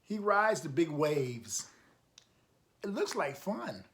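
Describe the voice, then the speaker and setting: A man's voice: fairly high pitch, sing-song, slow, fairly bright tone. A man reading a picture book aloud for children, adding encouraging, emphatic asides and putting questions to his listeners. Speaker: storytime reader, coach.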